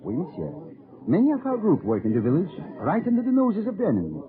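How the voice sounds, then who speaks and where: An actor playing a wily, exuberant French resistance leader in old radio drama style, theatrical, with a French accent. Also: male voice, on the high side, huge pitch swings, fast. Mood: confident, earnest. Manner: theatrical, repetitive, chuckling. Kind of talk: 1940s-50s radio drama acting. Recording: tinny, band-limited old radio broadcast, crowd behind.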